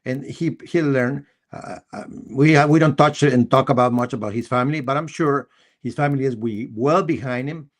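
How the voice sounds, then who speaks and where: A man with a Costa Rican accent, a native Spanish speaker, hosting a live sports discussion in a halting, repetitive way with emphatic bursts. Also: medium pitch. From Costa Rica. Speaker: sports talk show host.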